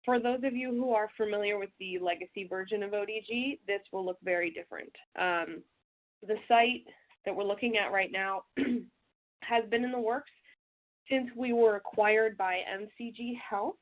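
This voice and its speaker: A woman presenting a software webinar, a little stuffy from a cold, talking in a relaxed way with frequent fillers. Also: medium pitch, normal speed. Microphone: web call audio. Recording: tinny phone-like audio.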